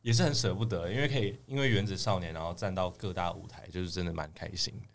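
Young man, fairly deep pitch, idol guest, casual chat, slight background noise. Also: steady tone, fast talker, vocal fry.

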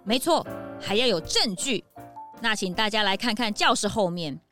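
A woman's voice: medium pitch, lively intonation, normal speed, sharp tone. A woman playing a cheerful, proud young animal sidekick detective in a children's audio drama, playful and confident. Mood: triumphant, confident. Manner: playful, confident, declamatory when deducing. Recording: music behind.

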